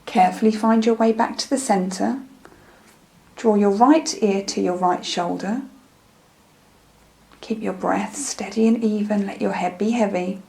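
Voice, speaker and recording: A woman leading a guided meditation in a gentle, soothing, unhurried manner, with phrases that trail off softly. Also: mid-range voice, poor audio. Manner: soothing, gentle, unhurried instruction with soft breathy phrase endings.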